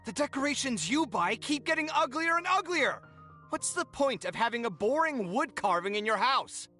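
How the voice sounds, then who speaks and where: A man's voice: very high-pitched, big pitch swings, normal speed, bright, forceful tone, soft background music, exaggerated voice. An actor playing a proud, easily exasperated young male architect who talks in an indignant, theatrical way. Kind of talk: video game dialogue.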